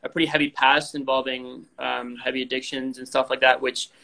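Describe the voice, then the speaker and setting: A man's voice: mid-range voice, level tone, a little fast, vocal fry, pushed and bright, poor audio. A young man who grew up in Indonesia, speaking frankly over a video call as an interview guest, rambling with many fillers and restarts. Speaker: guest athlete.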